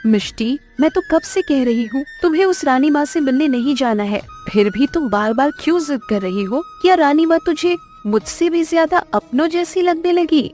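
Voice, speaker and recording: Woman as fisherman's wife, cartoon, high voice, possessive, anxious, insistent, faint music. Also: expressive, normal speed, hardly any pauses, darkish tone. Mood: scolding. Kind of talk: Hindi cartoon story dubbing.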